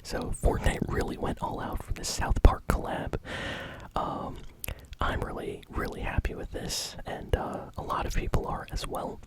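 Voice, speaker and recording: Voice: moderate pace, low-quality recording. ASMR news host for gaming content: fully whispered, informal, enthusiastic read. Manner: whispering throughout.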